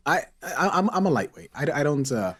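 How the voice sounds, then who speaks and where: A man hosting a casual, joking podcast chat, who talks haltingly, repeats his words and chuckles as he goes. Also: a little high, very animated, fairly bright tone, breathy edge. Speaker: podcast host. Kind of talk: casual comedic panel chat.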